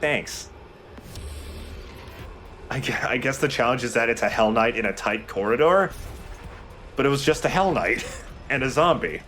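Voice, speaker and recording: A man's voice: medium pitch, lively intonation, normal speed, long pauses, fairly bright tone, faint music behind. A young man giving casual, explanatory video game commentary with some swearing. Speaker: let's play host and player.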